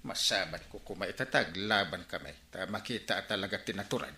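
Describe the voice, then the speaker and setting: An actor with an Ilocano accent playing a hot-tempered, sputtering village captain in a radio comedy-drama. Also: male voice, sits low, animated, a little fast, bright, forceful tone, a little hiss or hum, heavily acted. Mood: vengeful. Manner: blustering, sputtering. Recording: roomy, echoing studio sound.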